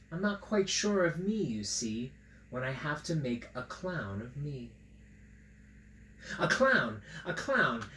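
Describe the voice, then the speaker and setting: Male children's story reader, unhurried and theatrically silly, recorded in an echoey room with noticeable noise. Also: fairly high pitch, a bit bright. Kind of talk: read-aloud and chat for children. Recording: roomy echo, clear background noise.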